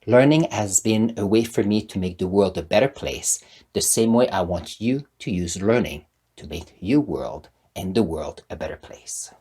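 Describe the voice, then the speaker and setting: French-Canadian man with lively, husky speech. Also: lowish voice, moderate pace. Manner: earnest, emphatic, repeats key words. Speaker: channel host. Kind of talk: motivational talk to camera.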